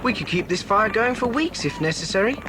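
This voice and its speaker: High-voiced British schoolboy. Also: male voice, expressive, fairly quick. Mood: reassuring. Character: confident, reassuring British schoolboy adventurer. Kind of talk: children's adventure audio drama. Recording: noisy old cassette recording.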